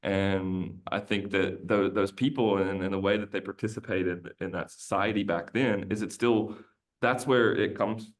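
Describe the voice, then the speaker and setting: A man, a podcast guest, chatting casually and telling a long rambling story, often looping back and restarting his sentences. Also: on the low side. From United States. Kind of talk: casual conversational interview.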